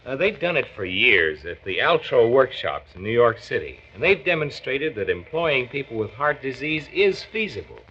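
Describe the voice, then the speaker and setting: An actor playing an earnest, persuasive county doctor in 1940s radio drama, with an American accent and measured explanatory delivery. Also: male voice, mid-range voice, big pitch swings, pushed and bright, breathy edge, heavily acted. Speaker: radio drama lead and narrator. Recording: dull 1940s radio recording, music.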